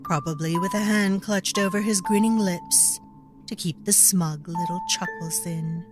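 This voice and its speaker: A woman playing a knowing, wry storyteller, narrating a dark fantasy audio drama in a deliberate, confiding way. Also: on the low side, over loud music, close to an everyday voice. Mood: confiding, ominous.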